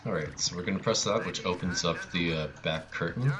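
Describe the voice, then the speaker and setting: A man's voice: low-pitched, brisk, noisy background. A man giving casual live commentary on his own video game speedrun, with playful, joking asides.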